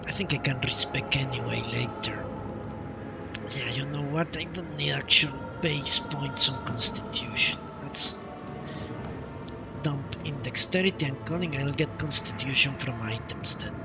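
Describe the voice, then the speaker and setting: A man's voice: medium pitch, measured pace, creaky. A man doing casual live gameplay commentary, thinking aloud and muttering to himself, with some swearing. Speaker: live streamer playing a video game. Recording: game music behind the voice. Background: video game music.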